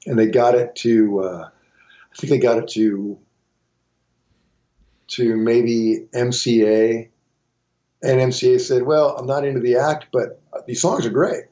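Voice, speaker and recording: Middle-aged man, from Montana, dark mellow voice, rambling casual storytelling, muffled audio. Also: medium pitch, steady tone, takes it slowly, pauses often. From Montana, USA. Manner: rambling, with many restarts and asides. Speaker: guitarist and songwriter, interview guest.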